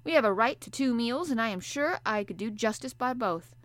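A woman voicing a blustering, hungry male harpooner who talks loud and gruff in an audiobook. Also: on the high side, expressive, brisk, no room sound, pitched above the performer's own voice. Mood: grumbling. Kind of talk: audiobook character voice.

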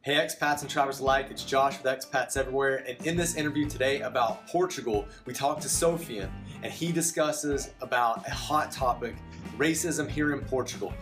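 A man's voice: mid-range voice, fairly quick, over music. Upbeat male podcast host. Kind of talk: podcast interview.

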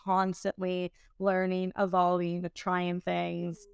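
Smooth-voiced woman in her late twenties, chatting. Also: lowish voice, completely flat delivery, slow pace, dry recording. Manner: informal, rambling, peppered with "like". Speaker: podcast host and business owner. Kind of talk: casual conversational podcast chat.

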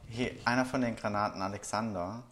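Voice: mid-range voice, steady tone, brisk, fairly bright tone. Podcast cohost from southwest germany, jokey, laughs a lot. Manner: joking, laughs often. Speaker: podcast co-host. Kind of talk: casual chat about a reality show.

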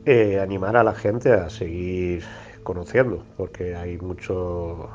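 A man's voice: low-pitched, highs cut off. A man as a podcast interview guest, speaking hesitantly and searching for words.

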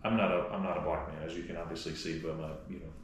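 A man co-hosting a podcast, speaking in a halting, reflective way with frequent restarts. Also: low-pitched, fairly even intonation. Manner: halting, restarts often, many fillers. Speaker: podcast co-host. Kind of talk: reflective conversational chat. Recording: very echoey room with faint noise.

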